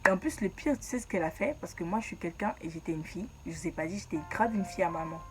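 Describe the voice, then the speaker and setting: A woman's voice: on the low side, quick pace, darkish tone, soft background music. Chatty slangy young woman storyteller. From Ivory Coast. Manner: chatty, slangy, laughs at her own story. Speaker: YouTuber telling her life story. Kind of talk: casual storytime vlog.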